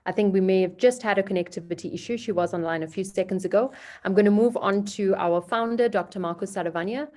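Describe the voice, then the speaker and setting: A woman's voice: mid-range voice, fast, a little mellow. A woman moderating an online business panel, talking loosely and hunting for words as she introduces speakers. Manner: loose and conversational, hunts for words.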